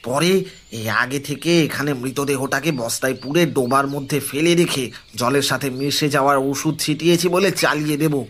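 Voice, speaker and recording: A man playing a shady, bossy ringleader in an audio horror story, giving curt, urgent commands in a hushed way. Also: mid-range voice, moderate pace, lightly acted. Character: shady, bossy male ringleader covering up a crime.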